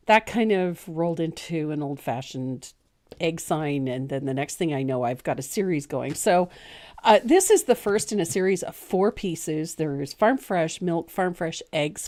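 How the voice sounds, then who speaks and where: A woman's voice: on the low side, a little mellow. A woman in her sixties teaching a painting lesson in a casual, chatty way, laughing often and joking about herself. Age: 60s.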